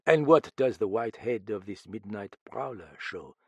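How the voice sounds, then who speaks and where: Man playing a grave, gravelly French detective. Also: lowish voice, animated, no room sound, full character voice. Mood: musing, probing. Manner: measured, grave, pointed. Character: calm, commanding French detective, grave and theatrical.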